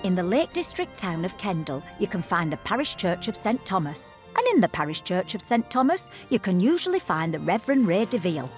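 A woman's voice: lowish voice, very animated, brisk, few pauses. A woman giving playful, punning scripted narration for a documentary, recorded as a studio voice-over. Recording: music bed under the voice.

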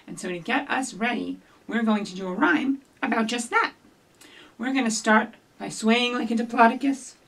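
A middle-aged woman hosting a children's storytime, giving simple instructions and reading rhymes to young children in an animated way, recorded by a camera a few feet away. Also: medium pitch, slight background noise. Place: small indoor office or library room with block walls. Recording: distant camera microphone.

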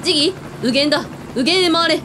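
A woman's voice: quick pace, lightly acted. A woman playing a brash, bossy owner who commands a mission in a radio drama, with a blustering manner. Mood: commanding. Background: music, helicopter rumble.

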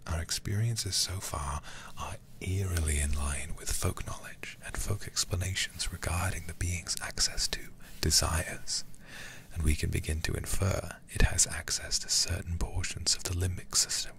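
A man whispering softly close to the mic as an ASMR streamer, reading and commenting through a horror game. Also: low-pitched, fairly even intonation, moderate pace, creaky. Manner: soft, hushed whispering. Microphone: close ASMR microphone.

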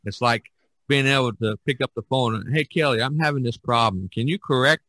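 A man hosting a casual podcast chat, speaking haltingly and often repeating his words. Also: medium pitch, bright, forceful tone, dry recording. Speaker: podcast host.

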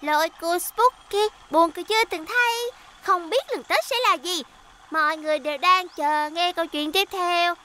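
A woman's voice: very high-pitched, moderate pace, sharp tone, a little hiss or hum. A woman voicing a boastful, gossipy young delivery vehicle in a children's cartoon, teasing and childlike. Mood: gleeful, mocking. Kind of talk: dubbed children's cartoon acting.

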